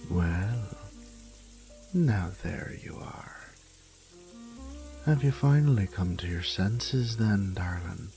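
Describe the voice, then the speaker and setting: Casting male actor: reformed thief, romantic audio roleplay, slow gravelly delivery, teasing and tender, slipping into whispers. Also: deep voice, dark tone, faint music behind. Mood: flirty, teasing. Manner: flirtatious, murmuring, drops into whispers.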